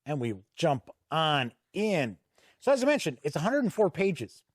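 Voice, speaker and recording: Guy doing a casual tabletop review, bit higher pitched, explains stuff conversationally, really dry sound no room. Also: sing-song, normal speed, slightly rough, no room sound. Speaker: tabletop game reviewer and host. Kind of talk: casual review commentary, paging through a boxed game.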